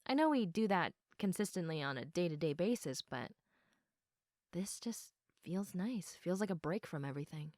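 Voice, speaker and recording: A woman playing a sleepy, affectionate girlfriend in an intimate sleep-aid roleplay, speaking softly and reassuringly with a tendency toward giggles and whispers. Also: on the low side, animated, no echo, studio quality, natural voice. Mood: loving, content. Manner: soft, unhurried, often giggly, dropping into whispers. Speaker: audio roleplay performer.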